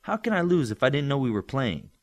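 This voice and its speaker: Man playing a wry, animated boy student. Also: medium pitch, fast, voice pushed up. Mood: baffled. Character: curious, wisecracking, exasperated young boy student. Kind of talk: audiobook character acting.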